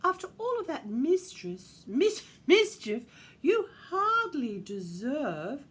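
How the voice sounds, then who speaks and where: A woman puts on Santa's wife for a storybook, a kindly matron who scolds. She exclaims in a high, swooping voice with a slightly odd accent. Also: sing-song, slow, exaggerated voice. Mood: scolding. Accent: slightly strange put-on accent. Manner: exclaiming, admonishing.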